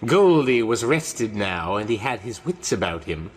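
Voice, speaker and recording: A man's voice: fairly low pitch, sing-song, a little slow, breathy edge. A man narrating a horror comic in a grave, dramatic, scripted storytelling style. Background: musical score.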